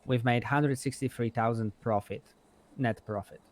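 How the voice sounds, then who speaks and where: A man's voice: medium pitch, normal speed, natural voice. A man playing a blunt, foul-mouthed male mechanic and shop owner, talking in a rambling, emphatic way in improvised game roleplay. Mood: confident, explanatory. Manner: rambling, emphatic, swears freely.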